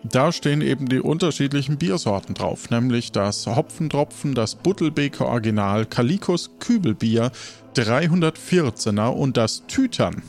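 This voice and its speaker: Male game master over soft music. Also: mid-range voice, expressive, normal speed. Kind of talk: narrating a role-playing game.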